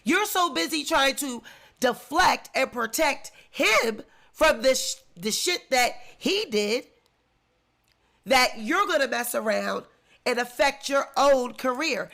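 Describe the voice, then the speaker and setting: A woman's voice: high-pitched, expressive, slow, gravelly, pushed and bright. A congested woman with the flu giving indignant, emphatic celebrity commentary in a vlog. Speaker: commentary vlogger.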